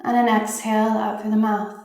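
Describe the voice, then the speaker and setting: A woman leading a guided meditation, giving gentle, soothing instructions in an unhurried way with pauses. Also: medium pitch, flat delivery. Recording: very echoey, reverberant room.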